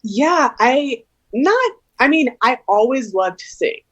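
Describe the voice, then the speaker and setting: A woman's voice: high voice, big pitch swings, takes it slowly, muffled recording. Woman, twenties, singer guest, casual, hesitant, giggly. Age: 20s. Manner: chatty, hesitant, many "like"s, giggly. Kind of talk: casual interview chat.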